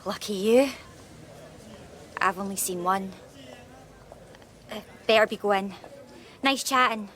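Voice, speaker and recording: A woman's voice: medium pitch, takes it slowly, pauses often, fairly bright tone. Morbid goth teen girl. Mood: morbid, aloof. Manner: flippant, enigmatic, understated. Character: morbid, detached goth girl in late teens. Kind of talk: radio drama acting.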